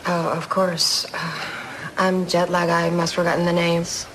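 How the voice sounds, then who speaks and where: An actress playing a poised, businesslike insurance investigator around thirty in an audio drama, measured and polite. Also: female voice, fairly low pitch, little pitch movement, a bit bright, slight background noise, low-quality recording. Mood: smooth, cool.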